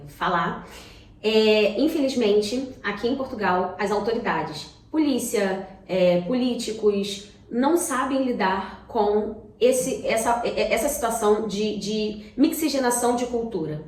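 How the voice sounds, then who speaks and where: A Brazilian woman co-hosting a casual talk, sharing personal experience in a halting way with repeated words. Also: medium pitch, darkish tone. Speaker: talk show co-host. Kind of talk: casual conversation, personal anecdotes. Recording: echoey room.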